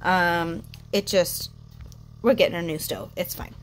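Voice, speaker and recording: A woman vlogging casually as she cooks at home, chatty and relaxed with frequent ums, her voice a little congested. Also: lowish voice, unhurried, background noise. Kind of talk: casual kitchen vlog narration. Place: home kitchen.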